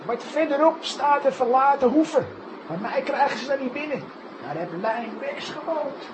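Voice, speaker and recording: High-voiced wary country cyclist. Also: male voice, expressive, moderate pace, background noise. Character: wary local cyclist giving directions. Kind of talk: children's audio drama acting.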